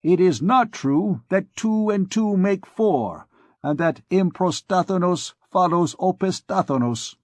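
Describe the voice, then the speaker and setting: A man's voice: a little high, lively intonation, measured pace, darkish tone, no echo, pitched above the performer's own voice. A man voicing an irritable middle-aged court physician, scornful and sarcastic. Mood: heated. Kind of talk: audiobook character reading.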